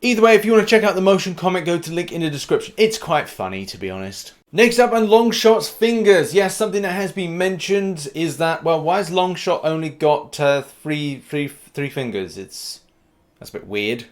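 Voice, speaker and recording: A British man with a slightly high voice hosts gaming news for his channel. He is upbeat and informal, with a cheeky streak, and leans hard on the start of each new phrase. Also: animated, normal speed. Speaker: gaming news YouTuber and host.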